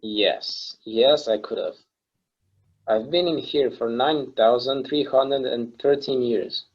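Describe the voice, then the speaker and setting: A man's voice: medium pitch, slow pace, dull audio. A man reading the part of an irritable, secretive recluse in a stage play table read, speaking in a curt, defensive, grudging way. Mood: dry, irritated. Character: guarded, irritable male recluse, thousands of years in hiding.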